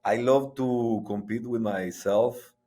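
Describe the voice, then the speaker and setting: A middle-aged man from Guatemala, speaking as a podcast guest in halting, restarting sentences. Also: mid-range voice, fairly even intonation, mellow. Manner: halting, frequent restarts and repetitions. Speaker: guest, sports entrepreneur. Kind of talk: conversational interview.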